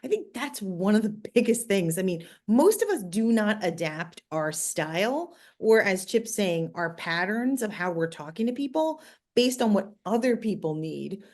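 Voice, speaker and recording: A woman's voice: mid-range voice, sing-song, moderate pace, a little mellow. A woman with a New Jersey accent giving conversational advice as an interview guest, explaining things emphatically and directly. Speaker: podcast guest, communications expert.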